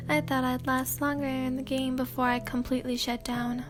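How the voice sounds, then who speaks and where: A woman with a high voice reads a story in a hushed, sleepy ASMR tone. She keeps an even, level delivery, pausing on fillers and clearing her throat now and then. Music plays softly behind her. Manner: soft and hesitant, many fillers and throat clears. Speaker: ASMR channel host reading fan fiction. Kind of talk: sleepy soft-spoken ASMR reading.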